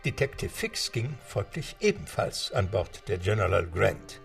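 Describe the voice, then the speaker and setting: An actor narrating a radio play as an English butler, in a measured storytelling tone. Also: male voice, on the low side, big pitch swings, vocal fry, soft background music, exaggerated voice. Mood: calm.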